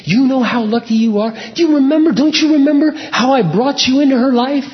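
A man's voice: very high voice, fairly quick, voice pushed up. A man voicing God as a loving but firm fatherly figure, quoted while preaching a sermon. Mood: pleading, earnest. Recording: echoey hall, dull lo-fi sound.

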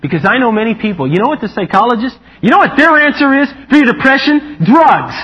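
A man preaching a lively, humorous sermon, animated and playful, acting out quotes in a higher voice. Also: fast, breathy edge. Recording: muffled lo-fi recording.

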